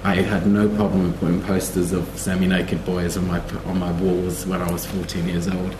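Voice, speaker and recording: Low-voiced man in an echoey room. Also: level tone, normal speed. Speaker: panelist. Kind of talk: personal storytelling on a panel. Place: live festival panel event. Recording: echoey room with background noise.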